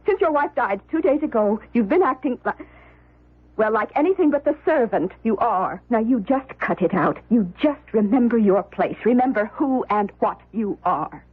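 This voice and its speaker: An actress playing a spoiled, sharp-tongued rich wife, imperious and husky, in a radio drama. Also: female voice, fairly high pitch, animated, normal speed, phone-quality sound. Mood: scornful, commanding. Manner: imperious, scolding. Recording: thin vintage radio recording.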